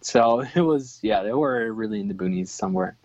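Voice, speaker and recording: A man's voice: fairly low pitch, expressive, fairly quick, a little mellow, no echo, dull audio. A man from Chile whose first language was Swiss German, talking in a casual interview with many ums and restarts.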